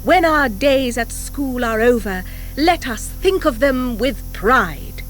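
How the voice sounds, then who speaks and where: A woman's voice: a little high, expressive, slow pace, soft background music, pitched above the performer's own voice. A woman voicing proud schoolgirl witches droning out their school song in a storybook. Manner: droning, declaimed.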